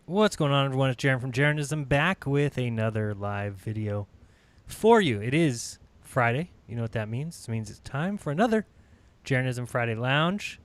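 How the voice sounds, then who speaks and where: A man's voice: mid-range voice, animated, moderate pace. A man hosting a live online panel chat, upbeat and chatty, laughing often, and feeling like he is losing his voice. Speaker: host.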